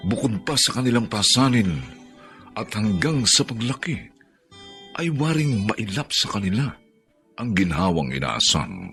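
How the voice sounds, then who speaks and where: A man with a Filipino accent narrates a radio drama in a dramatic, scripted style. His lowish voice moves in a very lively way, with music clearly playing behind it. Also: a little slow, pauses often.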